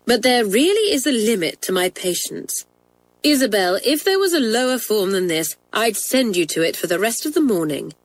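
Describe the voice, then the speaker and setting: A woman's voice: medium pitch, big pitch swings, moderate pace. A woman voicing a strict but fair schoolmistress in a storybook, firm and precise. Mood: exasperated. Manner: firm, precise, scolding authority.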